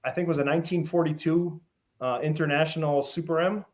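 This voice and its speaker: A middle-aged man from Western Massachusetts, a guest on an interview show, telling stories in a relaxed way with many pauses and lists. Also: fairly high pitch. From Western Massachusetts, USA. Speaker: guest, fish farm founder. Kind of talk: casual interview.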